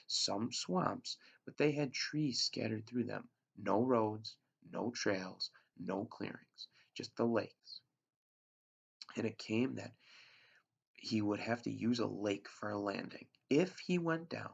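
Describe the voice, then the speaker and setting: A man's voice: mid-range voice, creaky, husky. A man, a teacher, reading a novel aloud to his class, with an unpolished delivery that sometimes stumbles and restarts. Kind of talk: read-aloud storybook narration. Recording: dull lo-fi recording.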